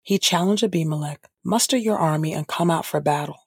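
A woman reading scripture aloud for an audio Bible podcast in a measured, formal manner. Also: low voice, breathy edge, no room sound, studio quality. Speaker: scripture reader and podcast host.

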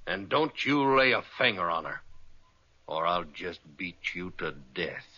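An actor playing a big, protective, plainspoken ranch boss with a western American accent, determined and earnest, in a radio western. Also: male voice, sits low, animated, unhurried, sharp tone, exaggerated voice. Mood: firm, angry. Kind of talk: 1950s radio western acting. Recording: dull old radio recording.

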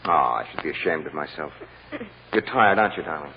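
An actor playing an eloquent, protective defense lawyer in a 1940s radio drama, solemn and measured with dramatic pauses. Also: male voice, rumbling low, lively intonation. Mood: gentle. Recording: muffled noisy old radio, reverberant hall.

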